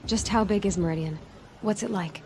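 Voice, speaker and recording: A woman as a direct young outcast huntress, mid-pitched. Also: brisk, mellow, slight background noise, lightly acted. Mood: curious. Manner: direct, earnest, questioning. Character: direct, inquisitive young female outcast hunter. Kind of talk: game cutscene dialogue acting.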